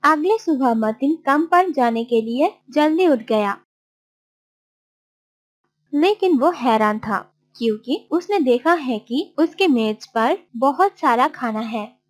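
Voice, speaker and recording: Very high-pitched woman storyteller, unhurried. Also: expressive. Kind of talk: scripted children's story narration.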